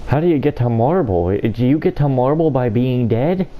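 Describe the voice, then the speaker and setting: A woman's voice: very deep voice, lively intonation, moderate pace, dull audio, a little hiss or hum. A woman giving casual video game playthrough commentary, reading dialogue and reacting in amused disbelief. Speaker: gamer.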